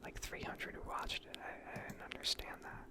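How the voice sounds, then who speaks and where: A woman speaking in a breathy whisper, giving rambling, unscripted and self-deprecating ASMR commentary over gameplay. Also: moderate pace. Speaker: gaming video creator.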